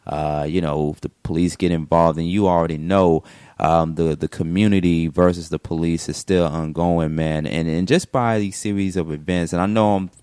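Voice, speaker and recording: A man's voice: deep voice, steady tone, moderate pace, darkish tone, clean tone, no room sound. A man hosting a morning radio show, asking long, rambling questions full of restarts.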